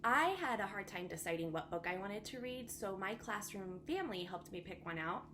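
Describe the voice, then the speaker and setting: A woman teacher reading a children's bedtime story aloud with expressive, dramatic emphasis. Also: medium pitch, moderate pace, slight background noise. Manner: animated, dramatic emphasis for young listeners.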